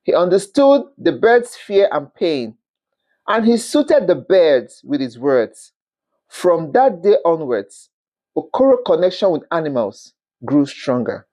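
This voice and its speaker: A storyteller narrating a scripted folktale in an expressive, dramatic manner, with the occasional stumble over words. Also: mid-range voice, takes it slowly.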